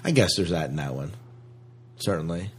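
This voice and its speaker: A man's voice: deep voice, expressive, normal speed, slight background noise. A man co-hosting a podcast in casual conversation, thinking aloud and posing questions. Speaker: podcast co-host. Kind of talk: casual chat discussion.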